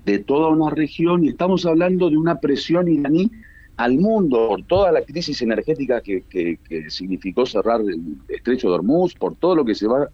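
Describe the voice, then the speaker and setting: A man's voice: medium pitch, expressive, fairly quick, no room sound, muffled recording. A man from Argentina with an Argentine Spanish accent, giving emphatic, repetitive political commentary as an analyst guest over a remote radio link. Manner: emphatic, builds points by repetition.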